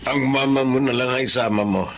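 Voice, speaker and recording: A man's voice: medium pitch, fairly even intonation, a little fast, a little hiss or hum, natural voice. An actor playing a stern, demanding middle-aged Filipino father in a radio drama, with a critical, domineering manner. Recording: music behind the voice.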